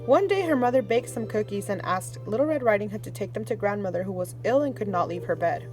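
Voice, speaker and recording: A woman reading a children's fairy tale aloud, her voice rising and falling with plenty of expression. Her pitch sits in a middle range. Soft music plays faintly behind her. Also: fast talker, rough recording. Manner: expressive read-aloud, warm sign-off to kids. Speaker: storyteller.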